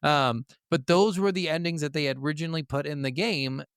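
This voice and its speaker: A man hosting a casual gaming podcast, telling stories in an animated, conversational way. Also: fairly high pitch, fairly quick, clear, resonant tone, dry recording, studio quality. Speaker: podcast host.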